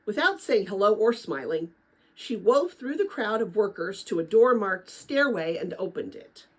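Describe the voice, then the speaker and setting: Older woman, retired teacher, very animated intonation, raspy edge, informal storybook read-aloud, chatty. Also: medium pitch, normal speed, poor audio. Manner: chatty, animated, rambling with personal asides. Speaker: retired teacher reading a children's book aloud.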